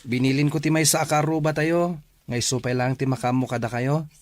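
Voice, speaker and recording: An actor playing a dutiful young grandson in a radio drama. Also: male voice, medium pitch, steady tone, fairly quick, a little mellow, dry recording, lightly acted. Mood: caring. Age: young adult. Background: music.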